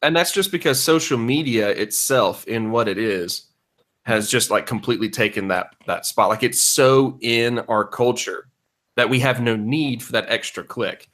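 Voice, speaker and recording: A man's voice: mid-range voice, moderate pace, a bit bright. A man co-hosting a podcast in casual, mock-earnest banter with his friends.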